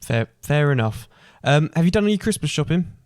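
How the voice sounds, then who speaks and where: A man's voice: fairly high pitch, expressive, brisk, a little mellow. A British man chatting casually and teasingly as a podcast co-host. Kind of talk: casual chat.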